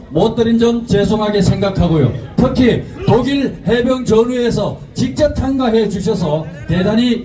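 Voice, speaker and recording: Distant rally emcee man, lively high voice. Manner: formal announcements, shouted cues for the crowd. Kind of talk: announcing at a protest rally. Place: outdoor street rally. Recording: distant PA voice with loud crowd and music.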